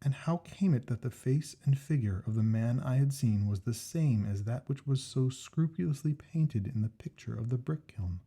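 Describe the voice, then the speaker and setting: A man reading a classic ghost story aloud as scripted narration, in a measured, expressive manner with deliberate phrasing. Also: mid-range voice, slightly rough. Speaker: story reader.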